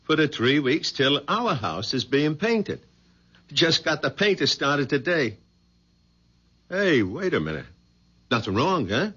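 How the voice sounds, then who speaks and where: A man's voice: fairly high pitch, sing-song, measured pace, pauses often, sharp tone, breathy edge, heavily acted. An actor in a 1970s radio drama playing a pushy working-class family man, talking bluntly and colloquially. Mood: brash, insistent. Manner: colloquial, blunt, folksy double negatives. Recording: dull vintage radio recording.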